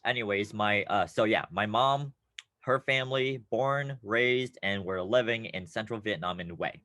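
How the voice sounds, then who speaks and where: Man, teacher, playful, upbeat, low voice, dry sound. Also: measured pace, dry recording. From United States. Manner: upbeat, playful, with bursts of excitement. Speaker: teacher presenting a class video. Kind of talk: friendly classroom lesson.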